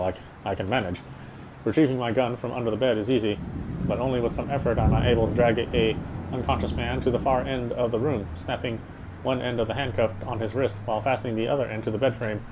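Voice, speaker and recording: A man's voice: medium pitch, a little hiss or hum, poor audio. A man doing a dramatic read of visual novel narration, stumbling over the odd word. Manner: stumbles over words while reading. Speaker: let's player reading a game aloud. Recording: music bed behind the voice. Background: loud game music.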